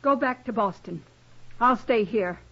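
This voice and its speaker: A woman with an American accent playing a dignified, quietly defiant wife in a radio western, earnest and well-bred in manner. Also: a little high, unhurried. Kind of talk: 1950s radio western acting. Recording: muffled vintage radio recording.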